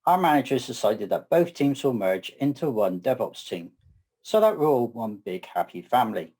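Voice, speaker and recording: Man, English West Country accent, middle-aged, measured wry tech presenter, average pitch. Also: big pitch swings, a little mellow, husky. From southwest England. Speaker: conference co-presenter.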